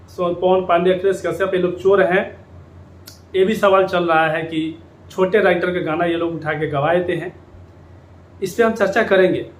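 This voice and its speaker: A man delivers informal commentary straight to his viewers. He often repeats a phrase to drive it home. The room rings with echo, and there is faint noise behind him. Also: sits high. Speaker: YouTube commentator on Bhojpuri music industry. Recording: echoey room with background noise.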